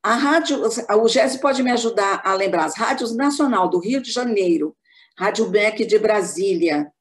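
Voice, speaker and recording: A Brazilian woman giving a formal, measured academic talk as a panelist on an online call. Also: mid-range voice.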